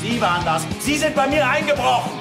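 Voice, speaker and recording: High, shouting, cornered killer. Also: male voice, lively intonation, brisk, vocal fry, exaggerated voice. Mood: angry. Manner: shouting, desperate. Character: cornered, panicking male killer. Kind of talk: TV crime drama acting. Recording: echoey, with loud music behind.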